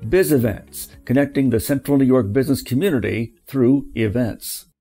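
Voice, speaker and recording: Male sponsor announcer, mid-range pitch, scripted read over clear background music. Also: moderate pace, dark tone.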